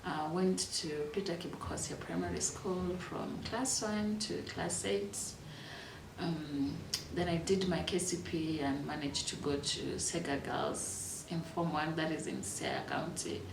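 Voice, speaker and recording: A Kenyan woman in her thirties telling her life story in a reflective, unhurried way, with fillers and restarts. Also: low voice, steady tone, creaky, recorded from a distance, background noise. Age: 30s. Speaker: interviewee. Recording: echoey room, voice from off-mic.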